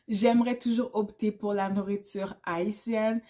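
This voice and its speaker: A woman's voice: medium pitch, fairly even intonation, a little slow, warm tone. A woman in her thirties from Canada with a Québécois French accent, talking casually to camera in a vlog and mixing English words into her speech. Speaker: YouTuber.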